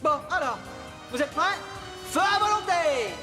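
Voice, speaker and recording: An actor voicing a cocky young hedgehog hero in a cartoon, breezy, wisecracking and confident. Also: male voice, very high-pitched, lively intonation, measured pace, over music. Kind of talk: cartoon dub acting.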